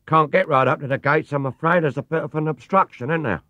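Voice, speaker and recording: A man's voice: on the high side, fast talker, dry recording, highs cut off, voice pushed up, lightly acted. A man voicing a friendly, chatty working-class London cab driver. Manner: chatty and matey.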